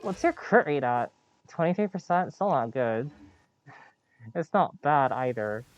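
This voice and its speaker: A woman's voice: very deep voice, lively intonation, unhurried, highs cut off. A woman streaming a game with casual live commentary, playfully muttering to herself with frequent interjections. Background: game music.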